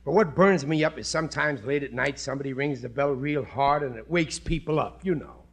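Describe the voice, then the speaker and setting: An actor playing a blustery, naive, good-hearted husband with husky, colloquial speech in a 1950s radio sitcom. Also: male voice, mid-range voice. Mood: blustery, chummy. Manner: blustery, colloquial, slow-witted.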